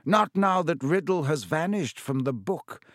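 Man reading as a calm, wry old wizard headmaster, genial and measured, pitched above his own voice. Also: no echo, studio quality. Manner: genial, measured, gently warning.

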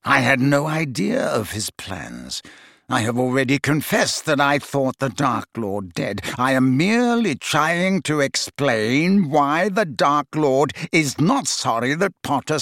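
A man voicing a cold, smooth, condescending double-agent wizard in a storybook reading, deliberate and quietly sarcastic. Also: medium pitch, sing-song, no echo, studio quality, exaggerated voice. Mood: measured, condescending.

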